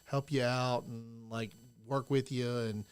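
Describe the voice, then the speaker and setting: A man in his late forties co-hosting a podcast, friendly and halting with many fillers. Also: mid-range voice, level tone, smooth, no echo. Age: 40s. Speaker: podcast co-host. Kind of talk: casual podcast chat.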